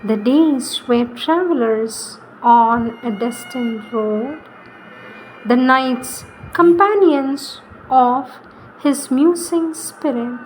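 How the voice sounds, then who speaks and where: A woman reads spiritual poetry in a solemn, devotional style, deliberately, pausing between short phrases. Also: sits high, a little hiss or hum, low-quality recording. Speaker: reader.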